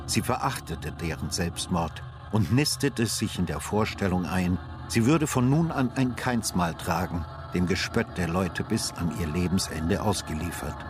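An actor playing a sober narrator of a detective story in a literary radio drama. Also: male voice, deep voice, animated, unhurried. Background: music.

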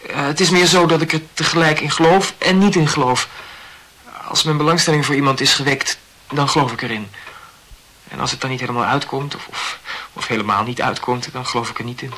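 A man's voice: mid-range voice, steady tone, a little fast, pushed and bright. An actor playing an awkward, earnest young man who talks hesitantly and corrects himself in a radio play. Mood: self-conscious.

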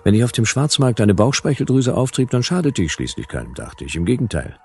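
An actor playing a wry, world-weary private detective in a sci-fi radio drama, with laconic, deadpan narration and dialogue. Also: male voice, medium pitch, brisk, slightly rough. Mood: dry, wry.

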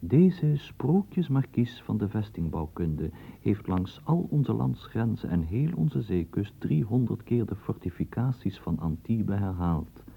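A man's voice: fairly low pitch, big pitch swings, a little slow, vocal fry, breathy edge. A man narrating a radio play and guiding the listener through the scene like a storyteller. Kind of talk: scripted radio drama narration. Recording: tinny lo-fi old radio recording.

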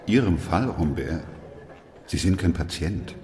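Very deep-voiced, sober doctor. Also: male voice, lively intonation, a little slow, dark tone, slight background noise. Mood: quiet, resigned. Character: weary, sober male doctor who narrates a plague chronicle. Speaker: actor playing the narrator and doctor. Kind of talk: German radio play acting and narration.

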